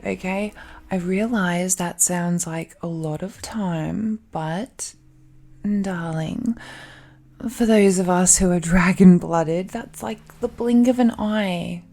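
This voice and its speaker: A woman's voice: fairly low pitch, slow pace, gravelly, mellow, faint music behind, exaggerated voice. A woman playing an affectionate, possessive dragon-blooded fiancée in an intimate romantic audio roleplay, murmuring tenderly and a little hesitantly. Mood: playful.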